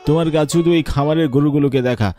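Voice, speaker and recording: A man voicing a farm worker's practical grown son in a cartoon, brisk and plain-spoken, chiding, over music. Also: on the high side, fairly even intonation, dark tone, natural voice. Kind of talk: cartoon voice acting.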